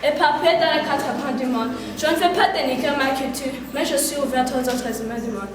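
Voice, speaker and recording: A woman's voice: high voice, moderate pace. Teenage girl reciting. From Burundi. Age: teens. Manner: earnest, declamatory. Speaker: pupil reciting a poem. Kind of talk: recited poem at a school event. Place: large hall. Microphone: far from the microphone. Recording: echoey hall from far off, background ambience.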